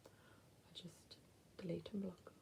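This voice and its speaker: Gravelly West Midlands woman, chatty vlogger. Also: on the low side, flat delivery, takes it slowly, dark tone. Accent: West Midlands English. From England. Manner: chatty, blunt, drops to whispered asides. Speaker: vlogger answering viewer questions. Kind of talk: casual chat to camera.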